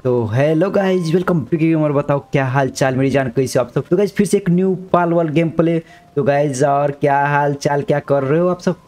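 A young man with a sore throat giving chatty, slangy let's-play commentary, now and then shouting at the game characters. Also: on the high side, a little fast, soft background music. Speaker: gaming YouTuber.

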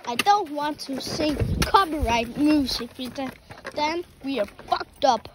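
Woman vlogger, high voice, slow unhurried delivery, playful and rambling, noisy outdoor audio. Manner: playful, rambling, stumbles over words. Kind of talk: casual vlog chat.

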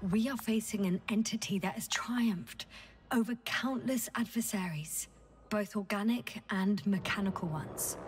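A woman playing a calm, analytical scientist in a video game, explaining in a measured, slightly breathy way. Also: mid-range voice, a little hiss or hum, lightly acted. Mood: grave. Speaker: game character, doctor. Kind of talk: dramatic video game dialogue.

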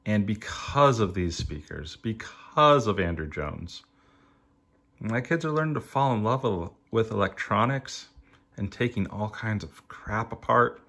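A middle-aged man giving a reflective personal review, in short emphatic phrases with rhetorical questions and halting pauses. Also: lowish voice, expressive, a bit bright. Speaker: audio hobbyist reviewer.

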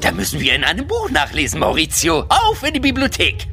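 A clever male raven from a children's radio play. He is brisk, urgent and easily exasperated, with a wildly animated pitch over music. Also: vocal fry. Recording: music behind.

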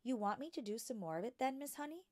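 Curious, precocious little girl acted by a woman, storybook read-aloud, eager and excitable, in a dry space. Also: on the high side, fast, dry recording, voice pushed up, natural voice. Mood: impatient, curious. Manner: excited, questioning, childlike eagerness. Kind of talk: children's storybook character reading.

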